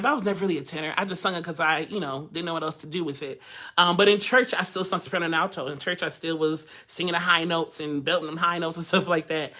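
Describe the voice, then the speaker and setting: Man, high voice, nasal twang, bright tone, casual teaching. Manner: chatty and candid, addresses the group directly. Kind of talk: live online vocal workshop. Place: living room at home.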